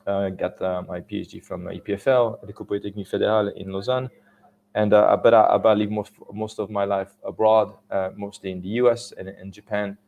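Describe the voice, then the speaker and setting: Halting Swiss French man with gravelly voice. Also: fairly low pitch, level tone, phone-quality sound. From Switzerland. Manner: halting, many fillers and restarts. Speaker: conference speaker. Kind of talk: technical conference talk.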